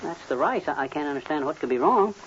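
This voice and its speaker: Boastful, folksy American husband, 1950s radio comedy, rapid delivery, hissy old recording. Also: male voice, a little high, expressive, a bit bright, lo-fi sound. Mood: sheepish. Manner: folksy, tongue-twisting wordplay, pompous phrasing.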